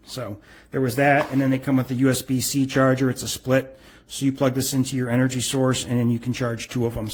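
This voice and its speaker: This is a middle-aged man with a mid-pitched voice. He talks through a product casually and without a script, explaining things in plain, informal terms and rambling a bit as he goes. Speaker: product reviewer. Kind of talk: casual unscripted product review.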